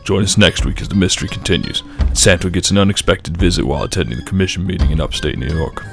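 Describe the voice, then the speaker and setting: A man with a low, deep voice serves as announcer for a radio drama. He reads scripted credits over clearly audible music. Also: quick pace. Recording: music behind the voice.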